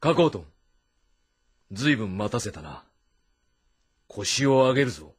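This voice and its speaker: A husky, commanding warlord. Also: male voice, lowish voice, very animated, exaggerated voice. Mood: rousing command. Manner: authoritative, deliberate, lordly. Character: commanding, shrewd middle-aged male warlord of ancient China. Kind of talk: dramatic Japanese historical game voice acting.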